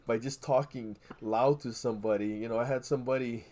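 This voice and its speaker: Man from Hawaii, casual co-host, animated storyteller, swears a lot, mid-pitched voice. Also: brisk. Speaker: podcast co-host.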